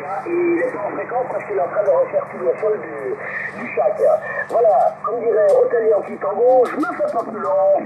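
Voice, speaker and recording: Creaky-voiced man on a noisy radio link. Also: extremely high pitch. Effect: radio transmission. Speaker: amateur radio operator in a group contact. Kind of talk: casual radio chat with signal reports and greetings. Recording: noisy narrowband two-way radio transmission.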